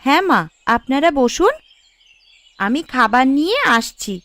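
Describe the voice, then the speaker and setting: Woman as a caring, high-voiced cartoon mother. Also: big pitch swings, a little slow, a bit bright, dry recording, exaggerated voice. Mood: dutiful, gentle. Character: caring, dutiful village mother and daughter-in-law in a cartoon. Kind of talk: Bengali family cartoon voice acting.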